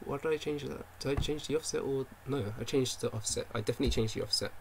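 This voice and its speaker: A man's voice: medium pitch, quick pace, slight background noise. A man developing a game on stream, thinking aloud as he works, muttering to himself and constantly correcting and second-guessing himself. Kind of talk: thinking aloud while working.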